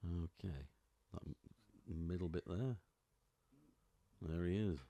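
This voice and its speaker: Male co-host, very deep voice, slow and easy delivery, chiming in with short remarks. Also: steady tone, gravelly, dark tone, no room sound. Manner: listens and reacts with short interjections. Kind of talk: casual chat.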